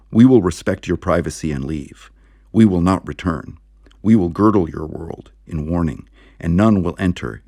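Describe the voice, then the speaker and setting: A man voicing a calm male alien visitor in a science fiction audiobook, with measured, stilted, formal speech. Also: sits low, no room sound, studio quality. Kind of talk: audiobook character voice.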